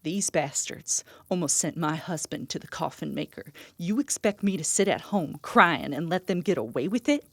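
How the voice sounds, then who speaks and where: Low-voiced defiant frontier woman. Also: lively intonation, normal speed, hardly any pauses, fairly bright tone, no room sound, studio quality, full character voice. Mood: defiant, sardonic. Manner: bold, sardonic. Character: defiant, vengeful frontier wife in the Old West. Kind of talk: western audio drama acting.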